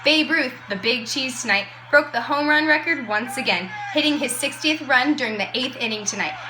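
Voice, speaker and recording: A woman playing a brash, slangy radio reporter and pitchwoman in an amateur 1920s broadcast. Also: moderate pace, noisy background, lightly acted. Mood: excited. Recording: roomy echo, music behind.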